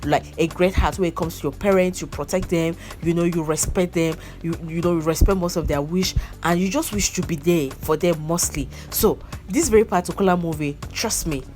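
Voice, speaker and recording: A woman's voice: on the low side, brisk, few pauses, darkish tone, faint music behind. A young woman chatting casually about a movie, repeating herself and breaking into laughs. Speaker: movie reviewer. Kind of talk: casual movie review chat.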